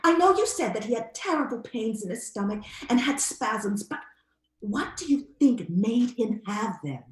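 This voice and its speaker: An actress playing an indignant, inquisitive middle-aged sister in an old-time radio gothic drama, with pressing, gossipy questions. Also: female voice, fairly high pitch, lively intonation, moderate pace, echoey room, natural voice, poor audio.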